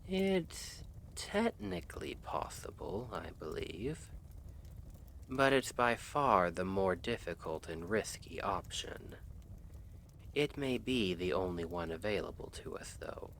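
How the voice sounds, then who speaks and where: Casting: male actor as lovestruck noble assassin for ASMR roleplay, deep voice, unhurried, formal and earnest. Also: very animated, vocal fry, a bit bright, slight background noise, full character voice. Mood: earnest, cautious. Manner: measured, formal, hesitant phrasing.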